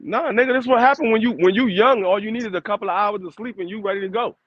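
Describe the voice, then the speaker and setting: A man's voice: high voice, quick pace, lo-fi sound, over a noisy crowd. A man, co-hosting a podcast and chatting casually, joking and insisting, laughing often. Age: 40s. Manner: joking, emphatic, laughs a lot. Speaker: podcast co-host. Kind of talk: casual chat.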